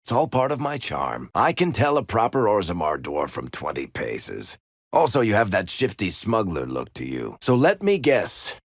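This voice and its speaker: A low-pitched, sardonic dwarf rogue spins his lines with a very lively, swooping delivery. He is a glib, teasing storyteller with shifty charm, a video game character recorded close and dry. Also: normal speed, no room sound, exaggerated voice. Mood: teasing, sly.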